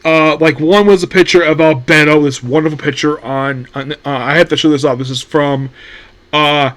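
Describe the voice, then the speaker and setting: A man with a slightly high voice talks through anime news in a loose, meandering way. He stops and restarts often, filling gaps with ums and uhs while he thinks. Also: fairly even intonation, normal speed, a bit bright. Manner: rambling, many fillers and restarts. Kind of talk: casual solo commentary.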